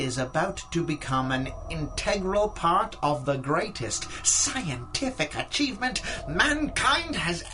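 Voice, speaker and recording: An actor playing an obsessed, ruthless mad scientist dictating notes in a 1930s-style radio drama, pompous and deliberate. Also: male voice, a little high. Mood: coldly scheming, grandiose. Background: prominent music.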